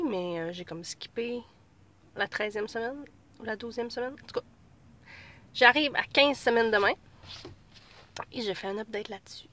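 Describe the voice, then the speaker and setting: A woman's voice: medium pitch, a bit bright. A woman in her thirties with a Québécois accent, chatting casually to camera from her car while she has a cold, rambling with many fillers. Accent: Québécois French. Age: 30s. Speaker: pregnancy vlogger. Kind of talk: casual vlog chat.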